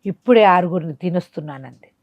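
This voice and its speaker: A woman's voice: lowish voice, expressive, fast talker, no room sound, lo-fi sound. Lady storyteller doing a possessed princess, curt and threatening, man-eater demon inside, folk tale style, dry sound. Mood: menacing.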